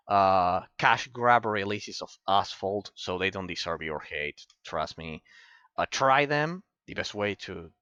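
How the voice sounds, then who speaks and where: A man's voice: fairly low pitch, fairly bright tone, no room sound. Man, Italian accent, opinionated game commentary, dry close sound, muffled audio. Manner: rambling, opinionated, frequent restarts. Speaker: game reviewer. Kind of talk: casual commentary over game footage.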